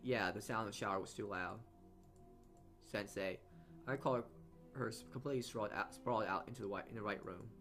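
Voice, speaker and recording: Male let's player, low register, reads story text aloud, trips and restarts, game music underneath. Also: brisk, pauses often, a bit bright. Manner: stumbles and corrects himself while reading. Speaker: let's player reading a visual novel aloud. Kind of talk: reading game narration aloud with asides.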